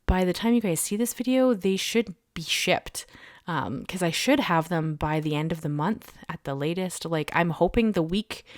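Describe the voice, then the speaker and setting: Crafting host, woman, a bit under the weather with a cold; chatty, low-energy, dry close mic. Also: no echo. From Canada. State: has a head cold and sore throat. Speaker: card-making channel host. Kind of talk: crafting tutorial voiceover.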